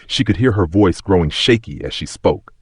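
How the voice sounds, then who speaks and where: A man's voice: low voice, expressive, moderate pace, husky, no echo, studio quality. A man narrating a scripted story with a dramatic, suspenseful and emphatic delivery.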